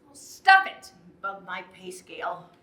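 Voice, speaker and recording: A woman plays a weary, bitter wife in a naturalistic drama. Her voice is high and sharp, with very lively pitch movement. It is recorded in an echoey space with background noise. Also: a little slow, full character voice. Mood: furious. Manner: emotional, swinging from quiet brooding to outbursts. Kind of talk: naturalistic relationship drama acting. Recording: roomy echo, audible background noise.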